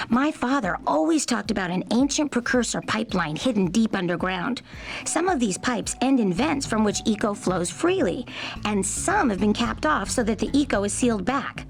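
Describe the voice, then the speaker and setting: A brisk young mechanic. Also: female voice, a little high. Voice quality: brisk, matter-of-fact. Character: brisk young female mechanic, sharp-tongued. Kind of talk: video game cutscene acting.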